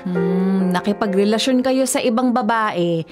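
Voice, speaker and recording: A woman's voice: mid-range voice, a little slow, music in the background, close to an everyday voice. A woman playing an indignant adult daughter in a Filipino radio drama, scolding and pleading insistently.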